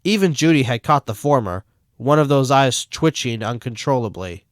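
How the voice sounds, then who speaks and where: A man reading fan fiction aloud as the narrator in a steady, plain way. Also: medium pitch, normal speed, no echo, clean studio sound. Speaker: fanfic reader.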